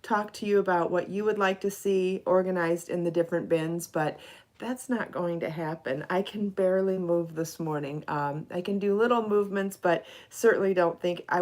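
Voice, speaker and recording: A woman's voice: medium pitch, fairly even intonation, normal speed, darkish tone. A middle-aged woman, slightly stuffy from allergies, chatting casually on a live podcast and greeting viewers by name. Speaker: knitting and crochet designer hosting a live podcast.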